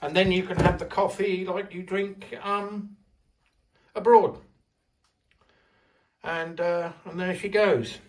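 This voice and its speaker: A man's voice: sits high, level tone, slow pace, pauses often, slight background noise. An older man in a home kitchen, chatting casually through a how-to with lots of fillers and restarts, filmed on a handheld phone. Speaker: home video maker.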